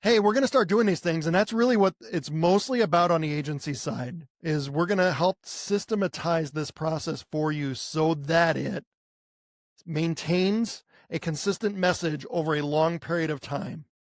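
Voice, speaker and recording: A man's voice: fairly high pitch, normal speed, dry recording, clean studio sound. An American man co-hosting a podcast in casual talk, conversational and given to posing rhetorical questions. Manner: conversational, poses rhetorical questions and voices imagined clients. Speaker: podcast co-host, marketing agency owner.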